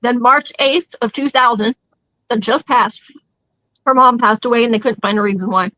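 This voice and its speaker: Bright, high-voiced woman recounting. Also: moderate pace, dry recording, low-quality recording. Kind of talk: casual chat.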